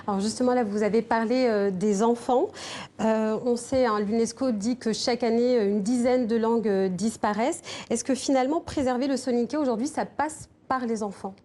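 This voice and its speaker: A woman's voice: on the high side, moderate pace, warm tone. A woman interviewing a guest on TV, asking questions with many hesitations. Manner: hesitant, many fillers between words.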